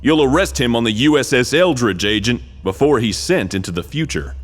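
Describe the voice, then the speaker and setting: An actor playing an earnest, absurdly serious military major briefing an agent in a comedic video game. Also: male voice, mid-range voice, lively intonation, a little fast, faint music behind, close to an everyday voice. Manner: solemn, matter-of-fact about absurd things.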